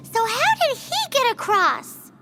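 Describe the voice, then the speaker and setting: A woman voicing a tiny, excitable female fairy companion who talks about herself in the third person, in a video game. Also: slow, loud music behind the voice, heavily acted. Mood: worried. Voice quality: squeaky and high.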